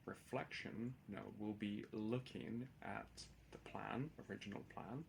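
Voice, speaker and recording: A man presenting a software demo in an unscripted screen-share tutorial style, explaining in a hesitant, halting way with many filled pauses. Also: lowish voice, steady tone, creaky, slight background noise.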